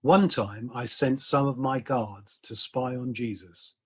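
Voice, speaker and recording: A man reading a dramatic monologue as a proud, scheming high priest, self-important and matter-of-fact, with a husky voice. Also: measured pace. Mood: calculating. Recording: video call.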